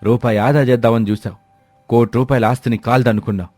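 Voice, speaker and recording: A man's voice: mid-range voice, fairly even intonation, quick pace, a little mellow, faint music behind, lightly acted. A man playing an earnest village husband who is respectful to elders and admonishing toward his wife in a moral story. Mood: reproachful. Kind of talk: dramatised Telugu moral story acting.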